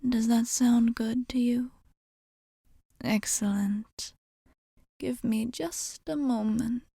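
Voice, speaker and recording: An actress plays a courteous female vampire bartender in an intimate ASMR roleplay. Her voice is fairly high yet mellow and breathy, often close to a whisper. She speaks slowly and formally, soothing and reassuring her guest with gentle patience. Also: no echo, heavily acted. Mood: warm, teasing. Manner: polite, formal, unhurried, reassuring.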